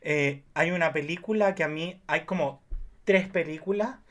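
High-voiced man, playful and rambling. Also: normal speed, fairly bright tone. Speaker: podcast guest. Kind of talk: casual, candid chat about films.

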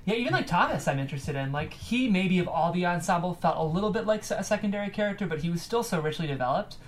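A woman's voice: fairly low pitch, animated, fast, a little hiss or hum. A woman hosting a conversational panel interview, speaking in an articulate, analytical way. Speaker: panel host interviewing a writer.

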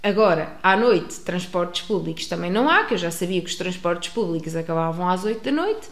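A woman with a European Portuguese accent telling a personal travel story to an audience, expressive, with many restarts. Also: lowish voice, brisk. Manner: expressive, acts out dialogue, frequent restarts. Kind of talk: animated storytelling. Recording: roomy echo.

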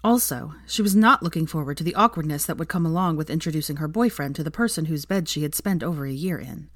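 Female fiction narrator, low register, scripted read, very dry recording. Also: lively intonation, quick pace, no echo.

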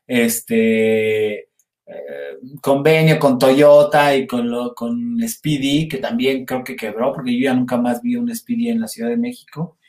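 A man's voice: medium pitch, darkish tone. Mexican man livestreaming in an echoey room. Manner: chatty, rambling, informal. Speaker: livestream host. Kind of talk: casual live chat with viewers. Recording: roomy, echoey sound.

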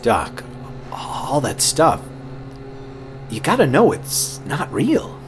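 An actor playing a rookie late-night radio DJ in a horror audio drama, jittery, hesitant and prone to stammering. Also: male voice, mid-range voice, wildly swooping pitch, slow, noisy background, heavily acted. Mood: skeptical.

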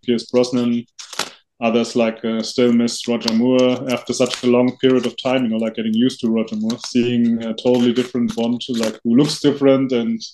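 A man co-hosting a podcast, chatting casually and haltingly with many fillers and restarts. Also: mid-range voice, little pitch movement, mellow, slight background noise, poor audio. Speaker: podcast co-host. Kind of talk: casual chat.

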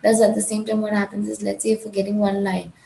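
A woman's voice: mid-range voice, monotone, quick pace, creaky, dark tone, reverberant, a little hiss or hum, low-quality recording. A woman from India with a Gujarati English accent, teaching an IELTS speaking lesson in a firm, direct way that repeats key points. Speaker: IELTS tutor. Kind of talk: instructional talk.

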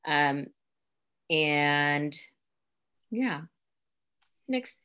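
A young American woman with a low voice, speaking as a guest in a relaxed conversation. She reflects slowly and leans on fillers as she thinks. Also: clean tone. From United States. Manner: reflective, uses many fillers like "like" and "um". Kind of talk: casual conversation, personal story.

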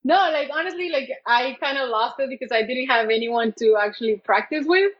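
Woman, thirties, high voice, halting casual storytelling, searching for words, dull lo-fi recording. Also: expressive, husky. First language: Spanish. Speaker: interview guest.

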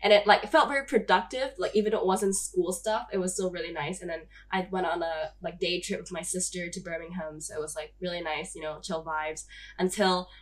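A woman's voice: fairly low pitch, steady tone, brisk. A young woman from Hong Kong hosting a podcast, chatting casually with lots of "like" fillers and frequent laughs. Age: young adult. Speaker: podcast host. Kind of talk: casual chat.

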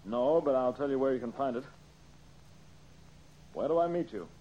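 An actor playing a composed, clever amateur detective, American, earnest and clipped, in old-time radio mystery style. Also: male voice, mid-range voice, normal speed, lightly acted. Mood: cool. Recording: muffled, hissy old radio recording.

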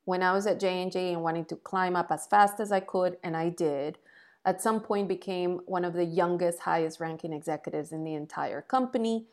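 A woman's voice: fairly low pitch, a little fast. A woman in her forties from Colombia, speaking English, talks as an interview guest. She tells her story frankly and with energy, and pokes a little fun at herself along the way. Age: 40s. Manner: lively, frank, self-deprecating. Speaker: guest, entrepreneur.

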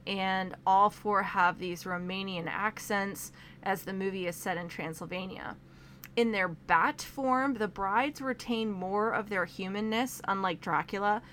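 A woman's voice: medium pitch, fairly bright tone. Young woman, casual film review host, chatty and organized, faint background noise. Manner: chatty, organized by topic, with frequent "um" and "like".

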